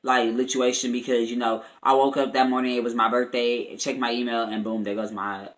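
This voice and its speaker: A man in his twenties with an American accent, telling a story to his viewers in a casual, chatty, animated and slangy way, as a vlogger. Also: mid-range voice, a little fast. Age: 20s. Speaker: YouTube vlogger.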